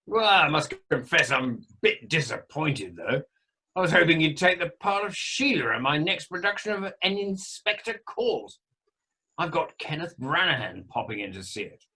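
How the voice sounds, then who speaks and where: Casting: actor as self-satisfied flirtatious male drama director, bright forceful delivery, very lively pitch, radio comedy theatrics. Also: normal speed, husky, heavily acted, rough recording. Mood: boastful. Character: boastful, flirtatious male amateur director and estate agent. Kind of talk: amateur radio play acting.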